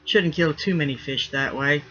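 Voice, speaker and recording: A man's voice: fairly high pitch, normal speed, fairly bright tone, natural voice. A man voicing a bossy, wry pirate mate with blunt, commanding sailor talk. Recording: dull lo-fi recording.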